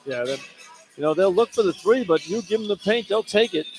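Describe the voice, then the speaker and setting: A man's voice: high voice, lively intonation, brisk, over music. A man working as a radio color commentator, talking through basketball tactics in an informal, colloquial way from courtside. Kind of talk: conversational basketball analysis. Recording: live arena broadcast, clear background noise. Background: arena ambience and noise.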